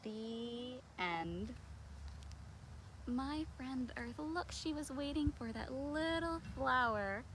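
An American woman leads a children's storytime in a high, very lively voice. She takes her time, speaking expressively as if to small children and often posing questions. It is recorded outdoors on a phone, with clear background noise. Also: unhurried, few pauses. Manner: animated and expressive, with dramatic gasps, whispers and questions. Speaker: children's librarian hosting an online storytime. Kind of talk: children's storytime, read-aloud and chat with young viewers. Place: outdoors in a backyard. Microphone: handheld or propped phone at arm's length.

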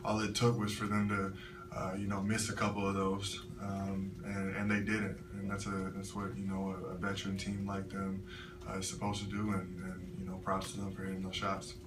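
A young man, a college basketball player, answering press questions after a loss with measured, halting answers full of fillers. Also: sits low, completely flat delivery. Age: young adult. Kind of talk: postgame press conference answers. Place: press room with sponsor backdrop. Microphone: table mic, recorded by a camera from the floor. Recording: echoey room from far off, noisy.

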